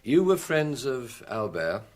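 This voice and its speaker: A British actor playing a shrewd, avuncular middle-aged police detective in a radio drama, measured, probing and quietly wry. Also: male voice, medium pitch, very animated, a little hiss or hum.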